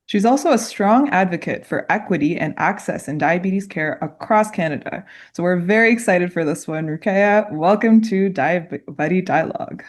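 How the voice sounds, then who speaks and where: Lower-voiced woman podcast co-host. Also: animated, moderate pace, hardly any pauses. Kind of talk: scripted podcast intro.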